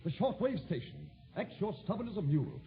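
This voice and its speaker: A man's voice: high voice, sing-song, fairly quick, gravelly, over loud music, exaggerated voice. A man playing a testy, smug detective with a superior air in 1940s radio comedy style. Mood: peevish. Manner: peevish, superior. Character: testy, smug male detective Sherlock Holmes. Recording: noisy, muffled old radio recording.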